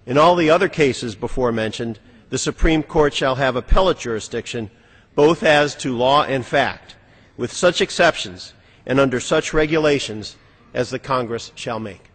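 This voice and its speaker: A man reads formally in a raspy voice of middling pitch. A large chamber adds lingering reverb around his words. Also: moderate pace, fairly bright tone. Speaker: legislator reading a legal text aloud. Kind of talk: formal read-aloud.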